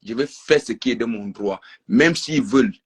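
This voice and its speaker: A man's voice: medium pitch, normal speed, slightly rough. A distressed man on a live stream call, repeating himself in defiance.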